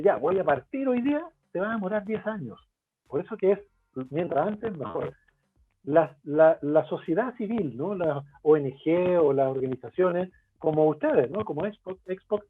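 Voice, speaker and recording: A man from Chile with a Chilean Spanish accent, a guest expert in a webinar interview who explains his points in a lively way and poses rhetorical questions. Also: sits high, husky. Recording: tinny phone-like line, faint music.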